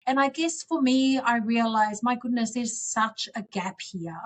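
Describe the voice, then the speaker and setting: A woman's voice: on the high side, a little slow. A woman in her forties, originally from Samoa, answering interview questions earnestly and reflectively as a guest politician.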